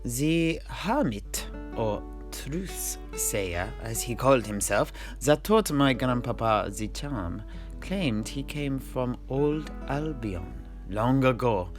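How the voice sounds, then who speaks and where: A man playing a genial old-world seafarer who recounts his grandfather's voyages in measured storyteller's phrasing. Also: medium pitch, lively intonation. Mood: measured, explanatory. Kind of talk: in-character fantasy storytelling. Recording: music playing under the voice.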